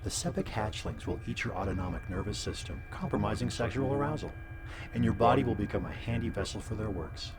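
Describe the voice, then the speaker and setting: An actor playing a deadpan cosmic abyss that gives grim advice in measured, formal phrases in a comic audio drama. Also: male voice, lowish voice, heavy background noise. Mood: ominous, deadpan. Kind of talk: scripted comic advice-column monologue. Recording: loud music bed under the voice.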